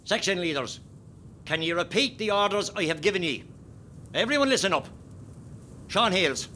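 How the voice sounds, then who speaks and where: An actor with an Irish accent playing an impatient, forceful rebel column commander giving orders in a radio play. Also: male voice, high voice, lively intonation, moderate pace, a little hiss or hum. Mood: drilling, stern. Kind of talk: radio play acting, shouted military orders.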